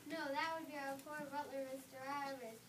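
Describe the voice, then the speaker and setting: A woman's voice: sits high, fairly even intonation, a little fast, fairly bright tone. A young woman playing an exasperated, bossy fairytale princess in an amateur stage reading, sarcastic and commanding. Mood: dry. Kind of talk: amateur reader's theater performance. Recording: distant echoey room recording with noise.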